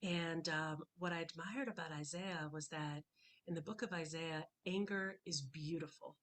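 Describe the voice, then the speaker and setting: A woman poet whose first language is Hebrew talks through her work with many pauses and restarts, then reads slowly and deliberately. Her voice sits on the low side, and she speaks into a computer microphone on a video call. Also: fairly even intonation, a bit bright. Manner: thoughtful, hesitant between poems, slow and deliberate when reading. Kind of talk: poetry reading with commentary.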